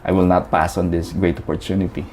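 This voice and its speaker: Low-voiced man from the Philippines. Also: flat delivery, fast talker, darkish tone, slight background noise. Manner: chatty, many fillers and restarts. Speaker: guest. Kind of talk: casual storytelling interview.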